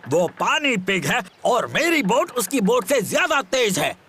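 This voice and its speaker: A man's voice: sits high, big pitch swings, a little slow, pushed and bright, full character voice. A man voicing a boastful, competitive old grandfather dog boatman in a children's cartoon. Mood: taunting, competitive. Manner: boastful, taunting. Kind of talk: children's cartoon dub in Hindi. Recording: faint noise behind the voice.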